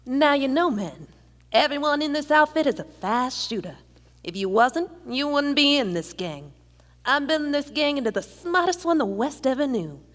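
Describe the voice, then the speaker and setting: A woman's voice: sits high, lively intonation, normal speed, a bit bright. A woman playing a shrewd, commanding outlaw gang boss in a live Western radio play, folksy and authoritative. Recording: reverberant theater hall.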